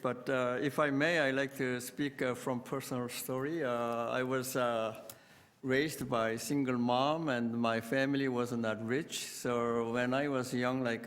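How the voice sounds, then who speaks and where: An older man, a city councillor giving a halting, earnest speech full of fillers and restarts at a committee meeting. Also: mid-range voice, fairly bright tone, in a large hall. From South Korea. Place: council chamber.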